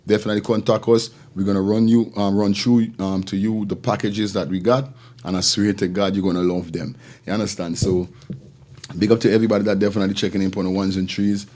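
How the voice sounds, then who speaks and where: Male live show host, Caribbean accent, low mellow voice, loose slangy repetitive chat. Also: flat delivery, quick pace. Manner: informal, repetitive, slangy patter. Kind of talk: casual live-stream talk with shout-outs.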